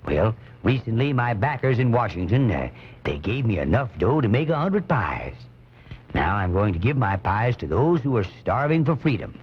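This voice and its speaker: Friendly baker, radio sketch, lowish animated voice, folksy, halting, dull noisy cassette. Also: male voice, breathy edge. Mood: genial. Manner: hesitant, presentational, folksy. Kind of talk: radio comedy skit acting. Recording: dull, hissy off-air cassette recording.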